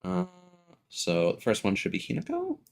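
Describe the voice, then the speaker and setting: A man's voice: sits high, huge pitch swings, moderate pace, creaky, very noisy. A man hosting a livestream, giving casual, rambling, hesitant commentary on a game and chatting to viewers. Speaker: livestream host.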